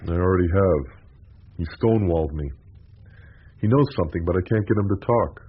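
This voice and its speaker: An actor playing a sober, shrewd priest in a radio drama, with a husky voice and a restrained, reproving manner. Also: male voice, sits low, a little fast. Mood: shrewd, matter-of-fact. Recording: dull, lo-fi radio recording.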